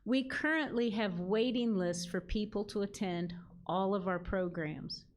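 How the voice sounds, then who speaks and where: A woman delivers an earnest prepared speech at a gala. She reads steadily from a script, speaking as the leader of a ministry. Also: mid-range voice.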